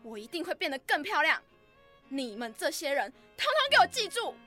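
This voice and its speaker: A woman's voice: high voice, very animated, fairly quick, sharp tone, full character voice. A woman playing an insecure, defensive college freshman in a radio drama, with music behind her. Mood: accusing, angry.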